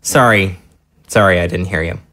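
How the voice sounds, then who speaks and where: Actor as a very deep-voiced, sullen grown son. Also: male voice, normal speed, sharp tone. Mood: apologetic. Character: sullen, defensive adult son living with his mother. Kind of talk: naturalistic audio drama dialogue.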